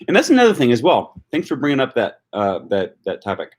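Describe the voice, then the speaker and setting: A man's voice: mid-range voice, huge pitch swings, fast. A man from Wisconsin with a Wisconsin accent, chatting casually on a livestream and answering viewer comments, thinking aloud with frequent fillers and restarts. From Wisconsin, USA. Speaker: language-learning YouTuber answering live chat.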